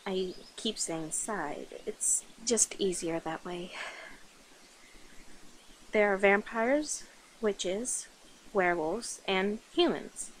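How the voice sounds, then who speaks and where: A woman playing a flirty, affectionate, slightly nervous vampire in an intimate ASMR roleplay, speaking softly, coyly and hesitantly to the listener. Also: mid-range voice, a little hiss or hum, lightly acted, low-quality recording. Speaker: voice actor in an audio roleplay.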